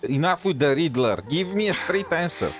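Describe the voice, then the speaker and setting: A man's voice: fairly high pitch, lively intonation, normal speed, soft background music. A man giving casual, rambling game commentary as a streamer, slangy and opinionated. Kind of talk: casual reaction commentary over gameplay.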